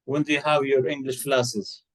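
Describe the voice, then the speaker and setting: A man's voice: medium pitch, flat delivery, normal speed, poor audio. Patient man teaching English. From Egypt. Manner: patient, coaxing, repeats and corrects answers. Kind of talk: one-to-one online English lesson.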